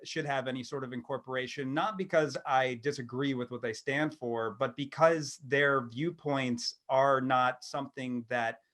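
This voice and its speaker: A man's voice: medium pitch, steady tone, moderate pace, hardly any pauses, a bit bright. A man co-hosting a casual discussion, questioning and reasoning aloud somewhat hesitantly. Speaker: podcast co-host.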